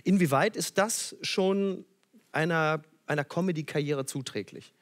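A man hosting a talk show, chatty and quick to laugh along. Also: on the high side. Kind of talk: casual chat.

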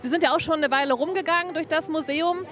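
Very high-pitched chatty woman reporter. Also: fast talker, fairly bright tone. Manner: chatty, frequent tag questions. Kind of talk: on-location TV report. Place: indoor museum ball pit.

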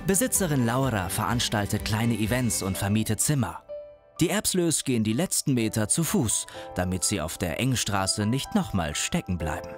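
A man reading a scripted documentary voice-over in a measured, lightly wry delivery. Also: lowish voice, big pitch swings. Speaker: documentary narrator. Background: music.

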